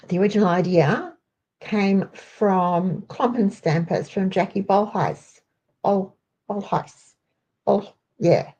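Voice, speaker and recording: A woman hosting a casual live crafting tutorial, chatty and rambling, with a croaky voice today. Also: mid-range voice, a little mellow. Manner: chatty, rambling, greets viewers by name. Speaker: crafting host.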